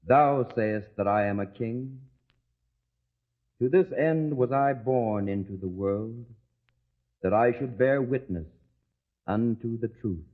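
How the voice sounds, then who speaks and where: An actor playing a calm, grave prisoner on trial who speaks in slow, archaic phrases, in an old-time radio drama. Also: male voice, medium pitch. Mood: solemn. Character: calm solemn man on trial, the accused prophet. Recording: dull phone-like lo-fi recording.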